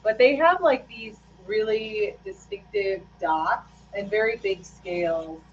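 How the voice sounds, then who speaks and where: Woman, mid pitch, slightly hoarse, loose rambling chat with fillers; dull lo-fi audio with faint noise. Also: slow. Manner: rambling, hesitant, many fillers and restarts. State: slightly hoarse after losing her voice. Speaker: lure painter streaming live. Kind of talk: casual live-stream chat while working.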